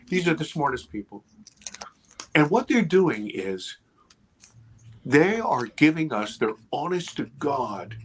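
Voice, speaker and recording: A man's voice: medium pitch, fairly bright tone. A man in his fifties, a guest on a podcast, talking casually and with energy, swearing freely and checking in with "right?" and "okay". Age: 50s.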